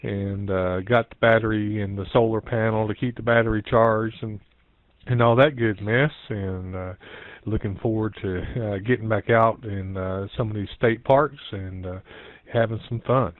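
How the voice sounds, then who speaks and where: A man giving a casual, halting, meandering update as an amateur radio operator on a net. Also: fairly low pitch, steady tone, no echo. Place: home office. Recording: dull narrowband internet radio link.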